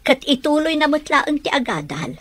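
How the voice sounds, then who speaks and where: A woman playing a concerned middle-aged mother in a radio drama, fretful and expressive about her child. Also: sits high, moderate pace, no room sound. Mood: wistful. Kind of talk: Ilocano radio drama acting.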